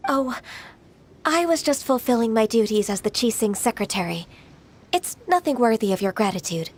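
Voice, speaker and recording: An actress playing a modest, dutiful young secretary who speaks in a polite, composed, measured way. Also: female voice, fairly high pitch, lively intonation, a little mellow.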